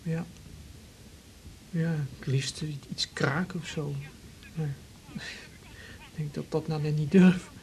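A man's voice: on the high side, fairly even intonation, gravelly, a little mellow, rough recording. A young man, shy and troubled, talks in a hesitant, natural way in a radio serial. There is faint noise behind the voice. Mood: embarrassed, aggrieved. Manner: hesitant, halting. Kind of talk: naturalistic radio drama acting.

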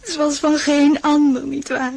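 Weeping abandoned empress in radio drama, tearful, needy, draws words out. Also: female voice, high voice, flat delivery, slow, creaky, a little mellow, no echo, exaggerated voice. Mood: desperate, tearful. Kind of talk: Dutch radio drama acting.

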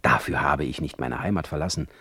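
A man's voice: very deep voice, a little fast, pushed and bright, breathy edge, no room sound, heavily acted. An actor in a German radio play voicing a proud, embattled exiled male poet, brooding and vehement, with lofty, declamatory diction. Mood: grave, anguished.

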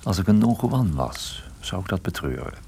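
A man playing a troubled, wry and guarded private detective in a radio play, with a husky voice and a dry, hesitant manner. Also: low-pitched, sing-song, normal speed, warm tone, a little hiss or hum. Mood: wry, restrained. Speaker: lead character and narrator. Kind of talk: radio play narration and dialogue.

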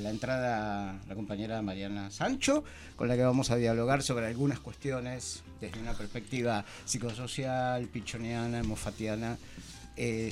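An Argentine man hosting a radio talk show, speaking informally and haltingly as he searches for words in a discussion. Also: mid-range voice. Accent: Argentine Spanish. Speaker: radio host. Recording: music under the voice. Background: music.

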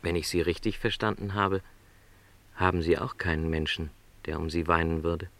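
An actor playing a lonely middle-aged travelling salesman in a radio play, a gentle, resigned dreamer with a reflective, apologetic way of talking. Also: deep voice, level tone, normal speed, dry recording. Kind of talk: literary radio play dialogue.

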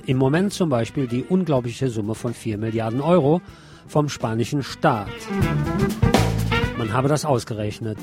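A man hosting a radio news programme, reading reports and adding his own commentary. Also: mid-range voice. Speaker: radio host.

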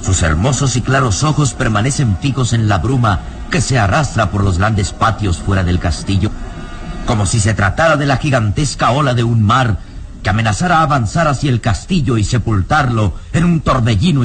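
A man's voice: lowish voice, moderate pace. A man narrating an old radio serial in a solemn, literary, dramatic scripted style. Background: prominent music.

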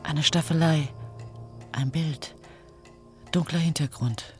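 An actor playing a terse, observing narrator who speaks in clipped fragments in a German radio drama. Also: male voice, fairly high pitch, fairly even intonation, takes it slowly, a little mellow, faint music behind. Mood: detached.

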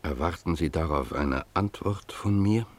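An actor playing a principled village pastor in a German radio play, speaking in a solemn, measured, formal way. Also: male voice, sits low, darkish tone. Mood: solemn, reproachful. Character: grave, principled village pastor, earnest and steadfast.

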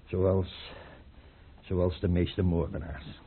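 An actor playing a tormented, suspicious, hard-drinking tsar in an old radio drama, theatrical and given to outbursts. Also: male voice, deep voice, monotone, drawn-out words, a little hiss or hum. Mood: brooding, emotional. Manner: theatrical, brooding, swinging into outbursts. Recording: muffled, narrow-band old radio recording.

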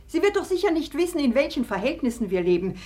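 Worried genteel mother in an old radio play, fairly high voice, plaintive and formal. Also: sing-song, fast, mellow. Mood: anxious. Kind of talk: 1950s German radio drama acting.

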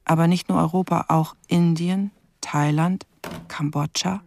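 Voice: medium pitch, steady tone, measured pace, gravelly, dry recording. An actor playing a terse investigator in a radio crime drama, listing evidence in clipped phrases and musing aloud in questions. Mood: sober, pondering. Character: terse, probing investigator going through a dead man's things. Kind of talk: radio crime drama, first-person narration.

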